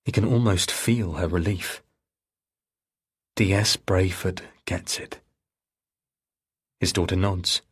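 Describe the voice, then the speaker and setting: A man narrating a thriller audiobook in a measured, dramatic way. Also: low-pitched, steady tone, husky.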